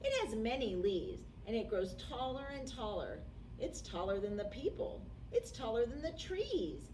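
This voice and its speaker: A woman reading a picture book aloud to young children as a storytime host, with deliberate, expressive phrasing and dramatic pauses. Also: on the high side. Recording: distant microphone with faint background noise.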